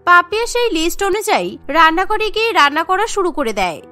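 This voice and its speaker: A woman narrates a cartoon story from a script. Her voice is very high and bright, set over a soft music bed. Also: big pitch swings, quick pace.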